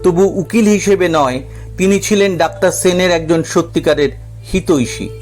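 A man narrating a scripted dramatic story in a measured, literary storytelling manner. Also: high-pitched, a little mellow, soft background music.

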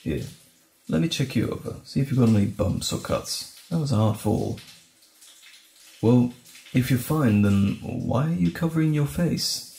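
A man playing a gentle, caring boyfriend in an intimate audio roleplay, speaking soothingly and tenderly with light teasing and a halting delivery. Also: mid-range voice, animated, gravelly, roomy sound. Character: gentle, caring boyfriend comforting frightened partner.

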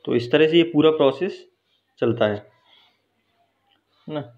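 A man's voice: on the high side, unhurried. A man teaching welding in a workshop, explaining step by step and often checking in with his viewers. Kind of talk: hands-on technical explanation. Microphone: phone held while filming. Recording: dull lo-fi phone recording.

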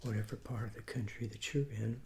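A man in his sixties, hoarse from a cold, reviewing fast food in a soft, half-whispered ASMR style with many restarts, seated at a table indoors. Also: fairly low pitch, steady tone. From Southeastern United States. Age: 60s. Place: small room at a table, indoors. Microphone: fixed camera mic at close range.

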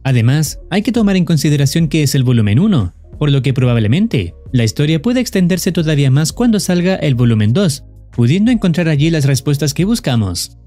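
A man giving scripted explanatory narration as a horror video narrator, measured and formal. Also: fairly high pitch, big pitch swings, dark tone. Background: background music.

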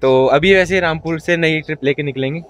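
A man's voice: on the high side, brisk, music in the background. Chatty young man vlogging outdoors. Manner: chatty, relaxed, says "yaar" often. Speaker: travel vlogger. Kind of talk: casual travel vlog to camera. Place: outdoors on mountain roads and hotel grounds. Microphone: phone or camera held at arm's length.

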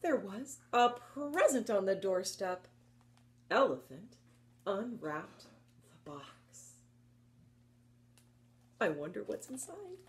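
A woman presents a story time for small children in an expressive, encouraging way. She speaks slowly and deliberately, with a very lively melody that rises and dips as she reads rhymes and picture books and asks the listeners questions. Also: mid-range voice. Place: indoors, small book-lined room at home.

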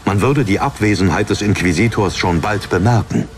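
A man's voice: lowish voice, normal speed, a little hiss or hum. A man reading a fantasy story as a scripted, dramatic audiobook narration. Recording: narration over background music.

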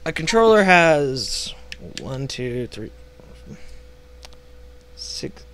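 A hoarse man rants indignantly over gameplay, swearing freely as he talks into a gaming headset. His voice sits at an ordinary middle pitch. A steady hiss and occasional clicks sit under the recording. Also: extremely slow. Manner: profane, indignant ranting. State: losing his voice. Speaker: gaming vlogger. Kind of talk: casual ranting commentary over gameplay.

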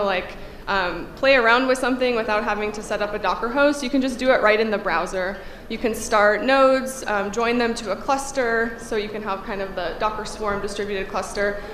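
A woman's voice: mid-range voice, a bit bright. A woman in her thirties giving a technical conference talk at a podium, a little congested from a cold, speaking conversationally with frequent fillers. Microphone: podium microphone. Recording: echoey hall, faint room hum.

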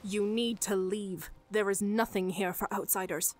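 A woman's voice: mid-range voice, steady tone, measured pace, lightly acted. A woman playing a stern, defiant island native in a fantasy audio drama.

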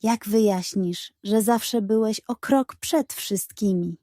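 A stern, upright Wild West sheriff from a children's storybook, acted out by a woman. He speaks slowly and gravely, in measured accusations, with a mellow tone. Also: mid-range voice, no room sound, clean studio sound, close to an everyday voice. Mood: accusing.

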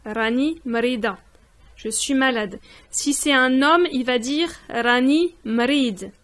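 A woman giving a language lesson in a voice-over, saying each word slowly, repeating it and translating it. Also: sits high, a bit bright. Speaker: language teacher. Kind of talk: slow spoken language lesson. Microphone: voice-over on text slides.

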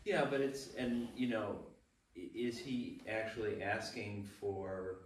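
Lowish guy in a group discussion, hesitant, far from the mic, noisy room. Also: steady tone, slow pace. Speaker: Bible study participant. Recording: echoey room, distant mic, noisy background.

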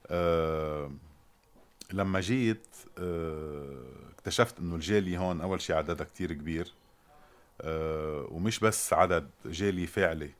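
A man in his fifties with a Lebanese Arabic accent, an ambassador answering in an interview in a measured, hesitant, formal manner. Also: low-pitched. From Lebanon and Sierra Leone. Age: 50s. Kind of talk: interview, recounting his career. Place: indoors, residence sitting room.

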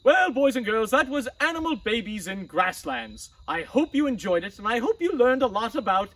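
A middle-aged man playing a pompous, fussy gentleman host of a comic children's show, musing and exclaiming theatrically. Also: extremely high pitch, sing-song, moderate pace, full character voice, poor audio. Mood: cheerful.

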